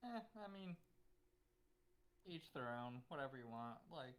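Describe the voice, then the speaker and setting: A woman's voice: very deep voice, animated, a little slow. A woman player making dry, joking asides during a tabletop game. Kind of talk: casual chat at a game table.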